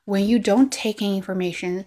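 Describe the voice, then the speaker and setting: A woman's voice: mid-range voice, fairly even intonation, normal speed, dark tone. A woman with a Chinese accent, whose first language is Chinese, talking earnestly to camera as a language learning vlogger, often saying "like" and "right?" and restarting her sentences. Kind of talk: casual reflective monologue.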